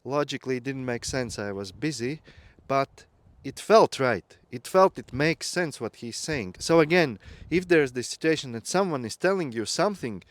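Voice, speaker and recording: A man's voice: medium pitch, lively intonation, moderate pace, no echo. Earnest man. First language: Latvian. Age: 30s. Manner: reflective, earnest, with light laughs. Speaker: growth mentor. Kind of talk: personal storytelling talk.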